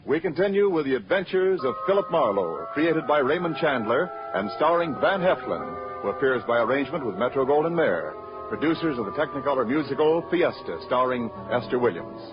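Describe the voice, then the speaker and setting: A man's voice: mid-range voice, sing-song, brisk, loud music behind the voice. An American man announcing a radio show and reading scripted sponsor copy in a 1940s style, on an old recording. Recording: muffled vintage radio broadcast recording.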